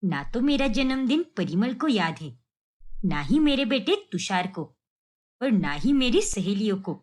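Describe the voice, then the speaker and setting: A woman's voice: medium pitch, very animated, measured pace, a little mellow. A woman voicing a resentful, self-pitying middle-aged mother in a cartoon story, with a dramatic, plaintive delivery. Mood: remorseful, sad.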